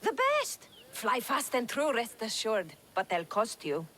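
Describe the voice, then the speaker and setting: Chirpy trader, bright, bouncy pitch, salesy. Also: female voice, huge pitch swings, measured pace, slight background noise, exaggerated voice. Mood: eager. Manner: bubbly, exclaiming sales patter. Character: chirpy female market trader, eager to sell. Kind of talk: video game cutscene acting.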